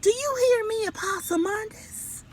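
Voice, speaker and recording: A woman voicing an exasperated mama possum in a storybook, scolding with drawn-out, emphatic words. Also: very high-pitched, slight background noise, pitched above the performer's own voice.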